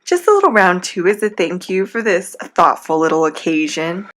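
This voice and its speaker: A woman's voice: on the low side, big pitch swings, normal speed, fairly bright tone. A woman playing a frank teenage girlfriend in an audio drama. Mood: affectionate, sincere. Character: frank teenage girlfriend, affectionate but easily alarmed.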